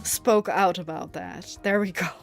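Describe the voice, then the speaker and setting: A woman's voice: on the low side, slow pace, creaky, soft background music. A young woman from the Netherlands, a native Dutch speaker, chatting casually and rambling with many fillers while streaming a game, often breaking into giggles. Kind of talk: casual chat while gaming.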